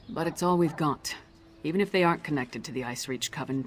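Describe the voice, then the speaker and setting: A woman's voice: deep voice, a little hiss or hum, natural voice. A woman playing a blunt, loyal and confident Nord warrior in a video game, speaking with firm, dry self-assurance. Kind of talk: video game character dialogue.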